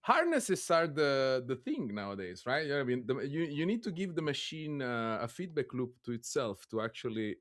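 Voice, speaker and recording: A man's voice: mid-range voice, sing-song, normal speed, few pauses, a bit bright. A man co-hosting a tech podcast, joking and laughing often. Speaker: co-host. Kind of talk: casual tech podcast chat.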